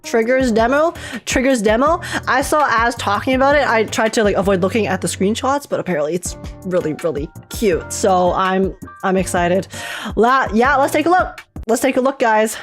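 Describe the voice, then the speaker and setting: Excitable high-voiced woman livestreaming. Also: moderate pace, soft background music. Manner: excitable, self-teasing, repeats phrases for emphasis. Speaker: livestream host and gaming reactor. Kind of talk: casual livestream chat and trailer reaction.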